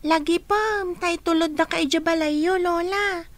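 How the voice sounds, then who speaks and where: Woman doing a polite little kid, radio drama, super high squeaky voice. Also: steady tone, unhurried. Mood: curious. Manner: polite, asks many questions.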